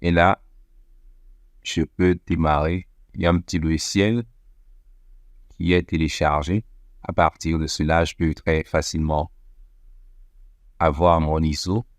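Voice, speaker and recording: Deep-voiced man calmly explaining steps. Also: measured pace, long pauses, dry recording, studio quality. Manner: step-by-step, methodical with frequent fillers. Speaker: tech tutorial presenter. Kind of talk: screen-recorded software walkthrough.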